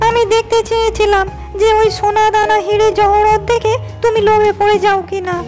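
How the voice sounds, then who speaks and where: A woman voicing a kind, wise fairy queen in a storybook cartoon, speaking in a gentle, teaching tone. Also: very high voice, flat delivery, fairly quick, faint music behind. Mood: instructing.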